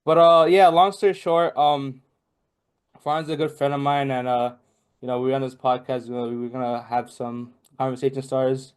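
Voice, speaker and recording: Young man from Bangladesh, podcast guest, casual chat, filler-heavy rambling, mid-pitched voice. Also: fairly even intonation, moderate pace, smooth. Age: young adult. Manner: rambling, many fillers and restarts.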